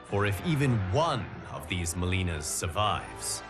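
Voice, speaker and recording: A grave, slow-spoken guardian of timelines. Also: male voice, low-pitched, big pitch swings. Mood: grave, foreboding. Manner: grave, formal, measured storytelling. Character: solemn, stern male guardian of timelines, unforgiving. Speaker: story narrator in a fighting video game. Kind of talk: scripted dramatic narration. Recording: clear music under the voice. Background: background music.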